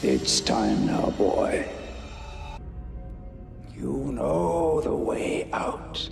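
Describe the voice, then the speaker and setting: An actor playing a sinister old undertaker spirit, taunting and menacing in a gravelly, theatrical drawl. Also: male voice, medium pitch, animated, extremely slow, heavily acted. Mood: ominous, taunting. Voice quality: gravelly, creaky. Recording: muffled audio under loud film music.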